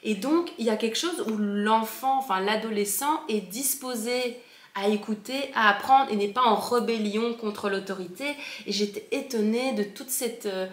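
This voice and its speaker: A woman fondly recalling her school years in a relaxed chat, often pausing and restarting her sentences. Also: a little high. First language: French. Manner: hesitant, many fillers and restarts. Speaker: former Steiner school pupil. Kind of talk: casual recollection. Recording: echoey room.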